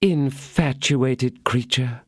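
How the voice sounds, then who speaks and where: A man voicing a scheming, vindictive noblewoman who speaks in a measured, coaxing way. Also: a little high, sing-song, no echo, studio quality, pitched above the performer's own voice.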